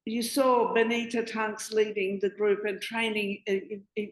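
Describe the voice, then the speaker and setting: Panelist, woman, earnest and self-correcting, mid pitch, roomy sound. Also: flat delivery, a little slow. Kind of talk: panel discussion.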